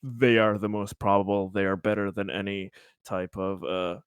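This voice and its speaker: A man's voice: lowish voice, steady tone, normal speed, smooth, dry recording. Casual male tabletop player, dry sound. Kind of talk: casual chat explaining his character's actions.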